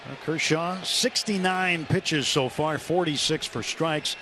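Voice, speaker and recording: Man calling baseball over clear stadium noise. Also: on the high side, slow, pushed and bright. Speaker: play-by-play baseball announcer. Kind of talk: live radio play-by-play commentary. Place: stadium broadcast booth. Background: stadium ambience.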